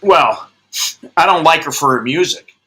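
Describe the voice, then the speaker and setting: American guy late 50s, rambly jokey chat, swears a lot, really bright voice, kinda high. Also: animated, moderate pace, poor audio. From United States. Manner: rambling, profane, joking. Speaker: host. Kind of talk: casual chat.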